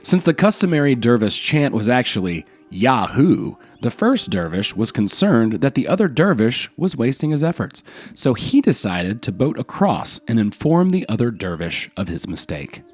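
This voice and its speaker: A man's voice: medium pitch, big pitch swings, moderate pace, few pauses, slightly rough, soft background music. A man narrating scripted folk tales as a storyteller.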